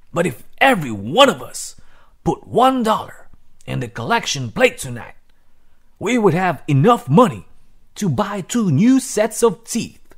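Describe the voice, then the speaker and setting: A man voicing an earnest, preachy small-town pastor from a storybook, declaiming solemnly and deliberately as if to a meeting. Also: sits high, breathy edge, voice pushed up, full character voice. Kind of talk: storybook character voice.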